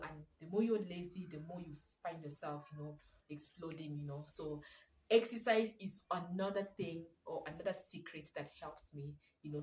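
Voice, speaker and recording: A young woman with a Nigerian English accent, chatting to camera as a parenting vlogger at home, keeping her voice soft while her baby sleeps. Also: fairly low pitch. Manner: warm chatty, speaks softly so the baby can sleep. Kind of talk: casual chat to camera. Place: room at home. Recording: echoey room.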